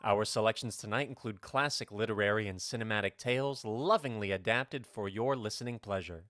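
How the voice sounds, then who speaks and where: A man's voice: on the low side, a little fast, a bit bright, no room sound. A man giving a scripted introduction as host of a vintage-style radio show. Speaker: host, announcer.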